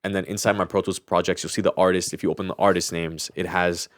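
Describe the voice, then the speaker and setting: A man with a low-pitched voice chats casually as a podcast co-host. His delivery is informal and loose, often self-correcting mid-thought. The recording is dry and close, with very little room sound. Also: little pitch movement, quick pace, no room sound.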